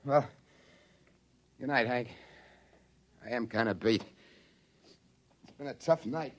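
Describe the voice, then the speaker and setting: A worn-out test pilot, played by a male actor, talks very slowly in a middling pitch. He sounds dazed and pleading. It is acted in the manner of 1950s radio drama. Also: close to an everyday voice. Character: Steve, exhausted, shaken test pilot, homesick young father. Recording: 1950s radio broadcast recording.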